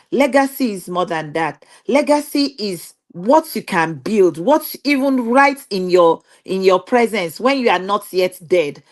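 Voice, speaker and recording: A middle-aged woman from Nigeria with a Nigerian accent gives a personal talk to an online audience, earnestly repeating phrases for emphasis. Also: medium pitch. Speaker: guest speaker, digital business owner.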